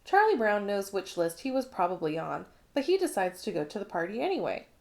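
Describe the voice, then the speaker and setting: A woman narrating a children's picture book in an expressive read-along style. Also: mid-range voice, brisk. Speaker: storybook reader.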